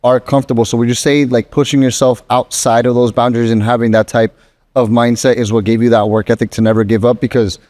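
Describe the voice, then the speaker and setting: A man's voice: medium pitch, little pitch movement, fast, darkish tone. A man hosting a casual interview, asking questions and reacting emphatically to his guest's answers. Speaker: interviewer host.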